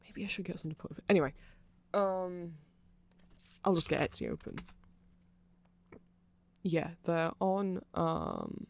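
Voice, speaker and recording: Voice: mid-range voice, huge pitch swings, unhurried, creaky, long pauses, exaggerated voice. A performer voicing a nerdy vampire fan in a rambling, apologetic, digressive ASMR explanation. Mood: rambling, explanatory.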